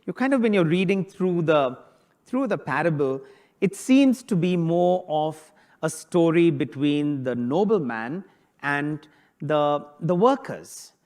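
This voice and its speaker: Priest delivering a homily, high male voice, informal preaching built on rhetorical questions. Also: expressive, slow pace, darkish tone. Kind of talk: sermon on a Bible passage.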